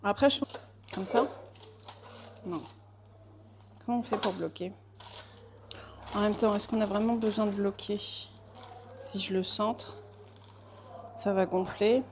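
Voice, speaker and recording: A woman's voice: mid-range voice, steady tone, slow pace, long pauses, noisy background. A young woman from France chatting casually while she bakes in her kitchen workshop, hesitant, with many fillers and restarts. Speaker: pastry baker vlogger. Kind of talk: casual chat while baking.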